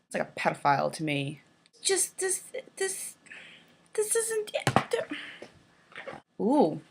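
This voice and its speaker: A woman's voice: lowish voice, wildly swooping pitch, slow, gravelly, noisy background. A woman with a head cold, sniffling as she mocks a children's book in a sarcastic, profane casual commentary. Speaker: YouTuber.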